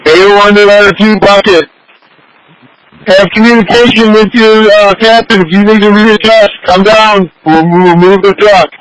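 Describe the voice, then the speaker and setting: A man's voice: high-pitched, fairly even intonation, moderate pace, fairly bright tone, clear, resonant tone, rough recording. A man working as a fire officer, giving terse operational orders over a two-way radio.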